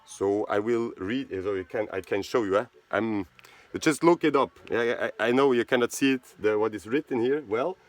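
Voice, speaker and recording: Low-voiced man talking haltingly. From Switzerland. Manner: halting, searching for words, many fillers and restarts. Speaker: host of a charity video channel. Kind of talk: casual spoken monologue.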